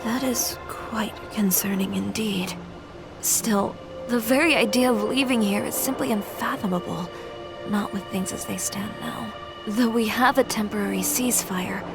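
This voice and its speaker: A woman playing a serene, gracious healer being in a fantasy video game, measured, formal and gentle. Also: mid-range voice, over music, natural voice. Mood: grave. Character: serene, gracious female healer Digimon with a grave dignity. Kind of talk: English video game voice acting.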